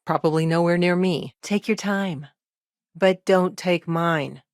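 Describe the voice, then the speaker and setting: An actress voicing a middle-aged, principled and determined lawyer in a video game, with a dry, wry, matter-of-fact way of talking. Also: female voice, on the low side, slow pace, darkish tone, no room sound. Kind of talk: video game character dialogue.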